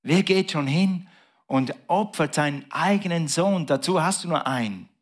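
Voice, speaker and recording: A man preaching from the pulpit, his voice sitting a little high for a man. He swings from raised, excited bursts down to quiet, hushed asides, in the heightened style of a sermon. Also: moderate pace. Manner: preaching with big swings in pitch and volume, dropping to a hush. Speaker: pastor.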